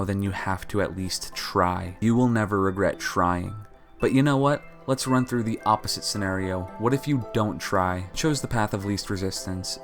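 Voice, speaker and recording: A young man in his early twenties giving an earnest, pleading motivational talk to his viewers. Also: on the low side. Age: young adult. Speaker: YouTuber. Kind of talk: motivational talk over gameplay.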